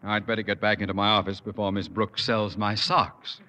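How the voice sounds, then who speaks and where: A man's voice: on the low side, level tone, moderate pace, natural voice. A man playing a blustering sarcastic principal. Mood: pompous. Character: pompous exasperated middle-aged school principal. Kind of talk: 1950s radio sitcom acting. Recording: dull old radio broadcast with reverb and faint hiss.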